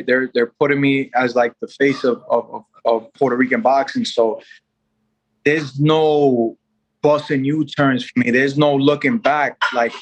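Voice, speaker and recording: Twenties guy from new york, boxer on a podcast, keeps saying you know and restarting, middle pitch. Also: poor audio. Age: 20s. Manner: loose and rambling, many fillers and restarts. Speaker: boxer, guest. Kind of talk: casual interview chat.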